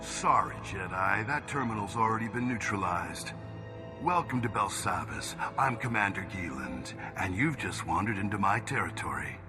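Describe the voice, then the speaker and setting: A man's voice: fairly low pitch, animated, normal speed, lightly acted. An actor playing a smug, theatrical military commander villain who taunts his prey in a video game cutscene. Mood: smug, mocking. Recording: music behind the voice.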